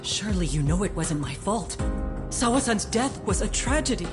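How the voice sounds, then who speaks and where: A woman's voice: medium pitch, very animated, moderate pace, over music, close to an everyday voice. An actress playing a guarded, determined mother in a game drama, formal, controlled and insistent. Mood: pleading, controlled. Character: guarded, determined mother hiding a painful secret. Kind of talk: dramatic video game cutscene acting.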